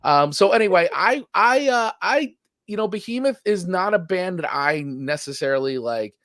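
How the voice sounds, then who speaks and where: A man's voice: sits high, animated, a bit bright, dry recording. Dry-sounding man rating songs casually. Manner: halting, repeats words, laughs at himself. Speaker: podcast co-host reviewing metal songs. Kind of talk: casual chat.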